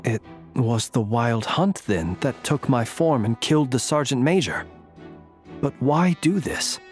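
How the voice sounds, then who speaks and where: An enigmatic, composed gentleman warrior from a video game, played by a man. He speaks at a middling pitch with formal, solemn phrasing and an analytical calm. Light music sits behind the voice. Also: measured pace, close to an everyday voice. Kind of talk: video game character acting.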